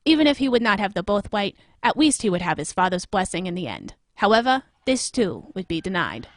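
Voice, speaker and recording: Earnest female anchor , acted by a woman, medium pitch, measured dramatic delivery for a newsmagazine parody. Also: very animated, close to an everyday voice. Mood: grave, intrigued. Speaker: host. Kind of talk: scripted newsmagazine narration in audio drama.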